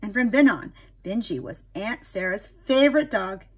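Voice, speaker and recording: A woman reading a picture book aloud to young children in an expressive teacher's manner. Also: medium pitch, normal speed, slightly rough. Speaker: storybook reader, teacher.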